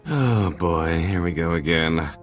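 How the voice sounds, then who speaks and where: A man's voice: low voice, expressive, slow, soft background music. A man playing an oily, self-important alien schemer in a video game, pompous and wheedling. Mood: pompous pleading. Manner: wheedling, pompous, swooping delivery.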